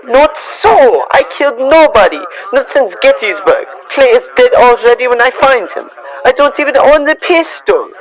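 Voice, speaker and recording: A young-sounding performer voicing an anxious immigrant farmer, halting and plaintive, in a radio western. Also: high voice, animated, poor audio. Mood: indignant denial. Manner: halting, plaintive, protesting in broken English. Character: anxious immigrant German farmer, man, pleading and simple. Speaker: actor in a student radio drama. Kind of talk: old-time radio western acting.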